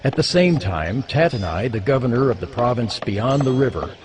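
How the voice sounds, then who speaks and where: A man's voice: medium pitch, expressive, moderate pace, background noise. A man narrating scripture from a script as part of a dramatized reading. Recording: music behind the voice.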